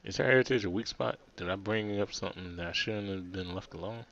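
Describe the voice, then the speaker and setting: A man's voice: deep voice, fast talker, creaky, fairly bright tone, highs cut off. A man narrating a visual novel for a let's play, reading aloud with stumbles and playful asides.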